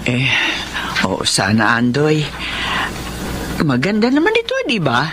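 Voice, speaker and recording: A woman's voice: low-pitched, wildly swooping pitch, unhurried, noisy background, full character voice. An actress playing a doting, anxious elderly Filipina grandmother in a radio drama, emotional and pleading. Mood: earnest, gentle. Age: older.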